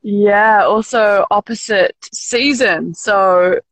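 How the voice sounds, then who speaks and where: A young woman with a New Zealand accent, a podcast guest talking casually over a video call in a rambling, halting way and laughing easily. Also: medium pitch, fairly bright tone, dry recording. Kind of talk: casual interview.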